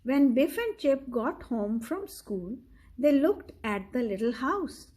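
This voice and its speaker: A woman reading a children's story aloud in a deliberate, instructive manner, talking directly to the listener. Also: high voice, sing-song, warm tone.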